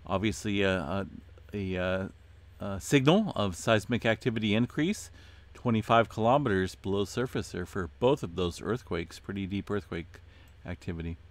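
A man hosting an earthquake update, talking casually through his screen with many fillers and a voice going hoarse. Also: on the low side, moderate pace. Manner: relaxed, many "uh" fillers, addresses viewers as folks. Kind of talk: casual screen-share commentary.

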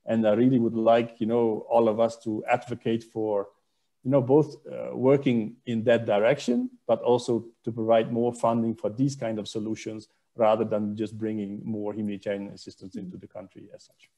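A man's voice: fairly low pitch, little pitch movement, moderate pace, dark tone. A man advocating as a panelist in an online discussion.

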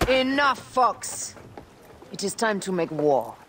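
A woman's voice: mid-range voice, big pitch swings, slow, background noise. An actress playing a resolute Egyptian woman warrior and naval commander in a video game, terse and serious. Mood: stern. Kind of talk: video game character acting.

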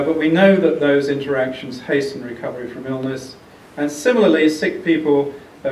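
An older man giving a public lecture, speaking in a measured, explanatory way with frequent pauses. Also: medium pitch, darkish tone, slight background noise. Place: large lecture theatre. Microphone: podium mic, then handheld. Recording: echoey hall picked up from a distance.

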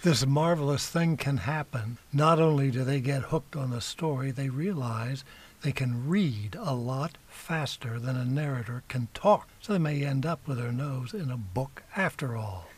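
A man's voice: medium pitch, unhurried, slightly rough. A man co-hosting a radio interview in conversation. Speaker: radio co-host.

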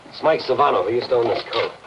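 A man's voice: mid-range voice, quick pace, lo-fi sound, lightly acted. An actor in his forties playing a persistent, world-weary city reporter in a 1950s noir television drama, dry and sardonic. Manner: dry, sardonic, hard-boiled. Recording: noisy 1950s film soundtrack.

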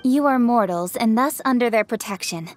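Casting: woman playing brisk young noble official, fairly high voice, frank, formal, self-assured fantasy game character. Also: animated, over music, lightly acted. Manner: assertive, blunt, formal.

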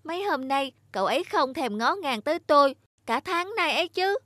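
Chiếc giày hoạt hình tủi thân, giọng cao. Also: female voice, sits high, lively intonation, normal speed, bright, forceful tone, no echo, studio quality. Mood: plaintive. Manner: plaintive, emphatic. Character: hurt, reproachful talking children's shoes. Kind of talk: Vietnamese children's cartoon dubbing.

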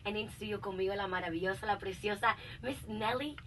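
A young woman runs a radio show from a studio mic. Her patter is brisk and bright, and she slips between Spanish and English. A light hiss of room noise sits behind her. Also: medium pitch, recorded from a distance. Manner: upbeat on-air patter mixing Spanish and English. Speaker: radio host. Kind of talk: bilingual radio hosting. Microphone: studio microphone with headphones.

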